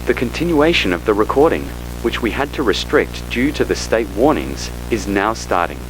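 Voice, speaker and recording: A man giving a measured, official-sounding scripted narration for an analog horror report tape. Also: on the low side, big pitch swings, poor audio. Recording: loud music bed under the voice. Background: loud music.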